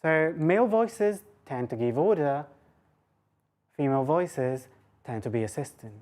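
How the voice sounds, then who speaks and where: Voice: mid-range voice, expressive, unhurried, darkish tone. A woman lecturer giving an academic public talk, mixing scholarly phrasing with informal asides.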